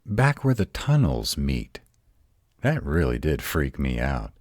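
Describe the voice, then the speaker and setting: An extremely deep-voiced, grumpy were-dragon played by a man guards his home in a roleplay audio drama. He drawls with gruff sarcasm and swings expressively in pitch, sounding close and dry. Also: huge pitch swings, slow, darkish tone, no echo, heavily acted. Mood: grudging, puzzled.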